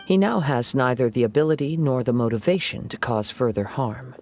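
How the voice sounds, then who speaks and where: A young wandering samurai, voiced by a man, speaks in a calm, measured way. His mid-pitched voice has a gravelly edge, and his words are steady and thoughtful. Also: soft background music.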